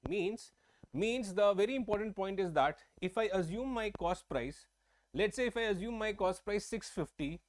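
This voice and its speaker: Young Indian man teaching exam math. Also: high voice. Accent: Indian English. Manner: chatty, repeats phrases, checks in with viewers by name. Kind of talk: live-streamed whiteboard lesson. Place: indoor studio at a large screen.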